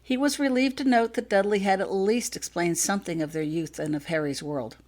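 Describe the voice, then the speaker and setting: A woman's voice: mid-range voice, fairly quick, darkish tone. A woman reading a story aloud as a scripted audiobook narration. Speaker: author reading own fiction.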